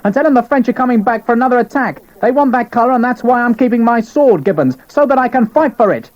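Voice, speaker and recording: A man's voice: very high voice, animated, fast talker, lo-fi sound, pitched above the performer's own voice. A man voicing an angry, defiant army officer who speaks in a raised, clipped voice.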